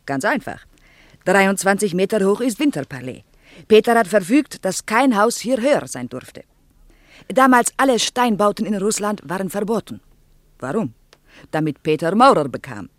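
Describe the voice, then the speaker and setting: An actress plays an earnest Soviet tour guide, a woman in her thirties. She speaks with a Russian accent, drops her articles and explains things in a didactic, slightly shy way. The radio drama sound is dry, and her pitch sits in the middle. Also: very animated, normal speed, dry recording, lightly acted. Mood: earnest lecturing. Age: 30s.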